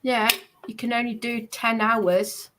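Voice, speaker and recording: A woman's voice: on the high side, flat delivery, a little slow, a bit bright. A woman chatting casually on a live stream, talking in a defensive, insistent way.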